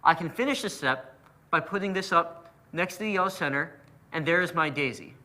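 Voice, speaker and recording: A man's voice: a little high, brisk, pushed and bright. A man explaining a puzzle method as an instructor, patient and methodical in his narration. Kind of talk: step-by-step tutorial narration.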